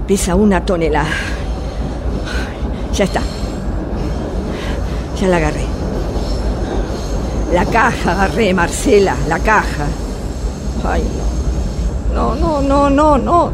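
An actress playing an exasperated, sarcastic blind street vendor in a radio drama, with a Rioplatense Argentine accent. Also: female voice, fairly high pitch. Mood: impatient, then flustered. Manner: complaining, sarcastic, emphatic. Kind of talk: radio drama monologue as phone call. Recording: loud subway train noise throughout. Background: subway ambience.

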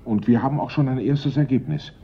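An actor playing a sober, dutiful police inspector in a German radio play, speaking in a dry, matter-of-fact way. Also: male voice, mid-range voice, fairly quick, husky, natural voice. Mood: factual, dutiful. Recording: dull, lo-fi radio play recording.

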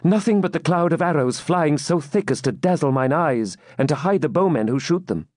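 A man playing a high, breathless, fervent young maiden. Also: expressive, normal speed, darkish tone, dry recording, studio quality, natural voice. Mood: anxious. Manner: breathless, exclamatory, archaic dramatic speech.

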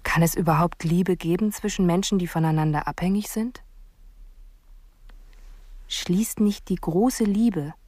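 An actress playing a sceptical police investigator, narrating a reflective, questioning inner monologue in a radio drama. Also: female voice, fairly low pitch, unhurried, pauses often, a little mellow, dry recording, studio quality. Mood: brooding.

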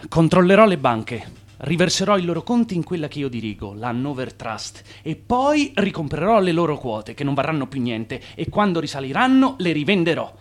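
An actor playing a brash, persuasive swindler turned financier in a radio drama, with a theatrical, grandiose manner. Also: male voice, fairly high pitch, sing-song, moderate pace. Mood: confident.

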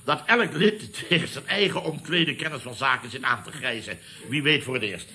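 An actor with a Netherlands Dutch accent playing a pompous middle-aged company boss in a radio comedy, blustering and repeating himself. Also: male voice, medium pitch, normal speed, sharp tone. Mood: outraged. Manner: blustering, repetitive, pompous catchphrases. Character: blustering, self-important male boss of a building firm. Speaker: company boss and club chairman. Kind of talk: 1970s radio comedy acting.

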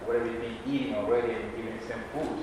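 A man's voice: medium pitch, steady tone, racing. A man talking casually as an interview guest, repeating words as he goes. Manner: informal, often repeats words and adds "you know". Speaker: African dance and drum teacher, interview guest. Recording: echoey hall, distant mic, noisy background.

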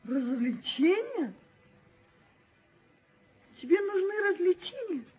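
A woman's voice: extremely high pitch, very animated, slow pace, heavily acted. An actress playing a willful, proud young daughter in a radio play, emotional and insistent in her delivery. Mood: insistent, probing. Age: young adult. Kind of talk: radio play stage acting. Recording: muffled 1970s radio drama recording.

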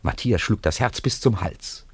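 Low husky guy narrating a radio drama story, very dry sound. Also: animated, normal speed, no room sound.

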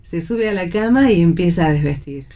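A woman's voice: lowish voice, expressive, fairly quick, warm tone. A woman narrator reading the stage directions of a play in scripted narration.

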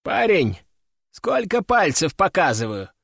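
A man's voice: sits high, very animated, measured pace, gravelly, fairly bright tone, no room sound, clean studio sound, voice pushed up, full character voice. A man reading the part of a businesslike healer who speaks in a matter-of-fact, clinical way. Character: brisk, businesslike male healer.

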